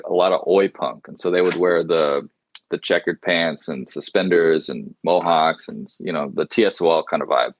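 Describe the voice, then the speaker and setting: A man's voice: low voice, dry recording. A man in his forties who grew up abroad, telling long stories as an interview guest, rambling with many fillers and restarts. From grew up in Indonesia. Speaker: guest musician. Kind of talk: casual interview storytelling.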